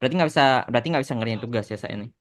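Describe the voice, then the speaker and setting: A man's voice: medium pitch, rapid-fire, no echo. Indonesian male podcast host, dry close sound. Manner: repeats short phrases, mixes English into Indonesian. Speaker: podcast host and animation creator. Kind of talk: casual podcast interviewing.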